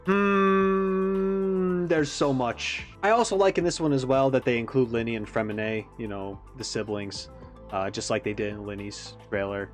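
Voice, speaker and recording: A man giving a casual, animated reaction commentary, thinking aloud as he analyzes like a game fan. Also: medium pitch, moderate pace, faint music behind.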